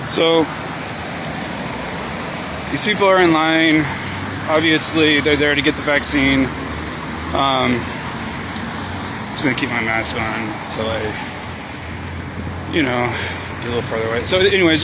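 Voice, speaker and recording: A man's voice: fairly high pitch, unhurried, long pauses. A man speaking casually and politely as a citizen journalist doing street interviews, often hesitant and informal in his phrasing. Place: outdoors by a vaccination line. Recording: loud outdoor traffic and vehicle noise.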